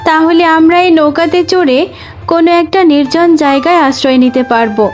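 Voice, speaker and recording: A woman's voice: high-pitched, brisk, faint music behind, lightly acted. A woman voicing a caring mother fox in a children's fairy-tale cartoon, talking in a soothing, explanatory way. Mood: reassuring.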